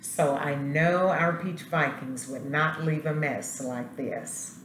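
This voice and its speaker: A woman principal reading a storybook aloud to young children, expressive and speaking to them directly. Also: low voice, slow. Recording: roomy echo, light background noise.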